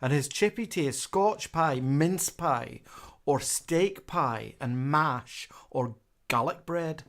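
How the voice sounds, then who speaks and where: A man's voice: on the high side, animated, takes it slowly, a bit bright. A man from the UK co-hosting a casual live stream, chatting and reading out viewers' comments with a laugh.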